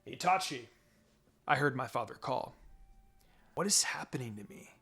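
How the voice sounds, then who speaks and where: A man reading a scripted story aloud in an expressive, dramatic narrating style. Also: mid-range voice, normal speed, vocal fry, husky. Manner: expressive, voices the dialogue. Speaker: fan fiction reader. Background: background music.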